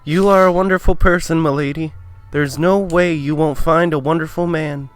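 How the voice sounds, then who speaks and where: A man voicing a polite, devoted young maid girl, speaking in a soft, deferential and earnest way. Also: a little high, steady tone, normal speed, smooth, faint music behind, voice pushed up, lightly acted. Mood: earnest, reassuring.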